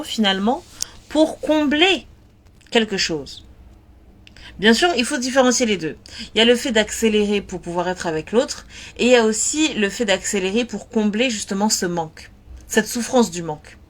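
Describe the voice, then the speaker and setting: A woman talking earnestly and directly to her listeners, repeating words and pausing on fillers as she gives spiritual advice. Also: on the high side. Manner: earnest, repetitive, lots of fillers. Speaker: spiritual advice content creator. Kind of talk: casual talk.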